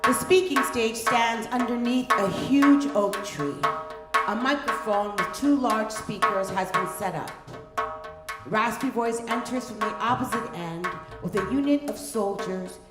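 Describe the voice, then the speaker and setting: A woman narrating a scripted story in a measured, deliberate manner. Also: medium pitch. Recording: roomy live performance with music. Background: percussion music.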